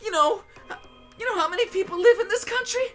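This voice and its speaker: A woman playing a dramatic high school student in a comedic anime game fandub, with theatrical delivery. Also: fast, a bit bright, faint music behind. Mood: wailing panic.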